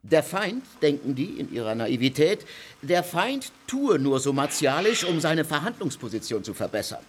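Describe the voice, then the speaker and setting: A pompous political theorist. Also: male voice, a little high, very animated, normal speed, background noise. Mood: lecturing. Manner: lecturing, declamatory. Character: pompous male political theorist lecturing dignitaries. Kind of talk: radio play acting.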